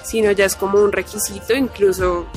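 A woman's voice: mid-range voice, little pitch movement, fairly quick, soft background music. A young woman student with a Colombian accent giving a spontaneous interview answer. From Bucaramanga, Colombia. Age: young adult.

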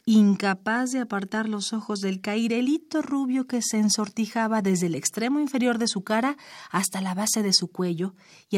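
A woman reading her own short story aloud in a measured, expressive storytelling manner. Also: mid-range voice, no room sound, clean studio sound.

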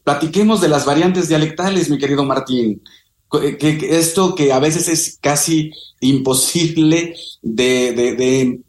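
A male radio host who interviews his guest in a warm, familiar way, calling him a dear friend. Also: medium pitch, reverberant.

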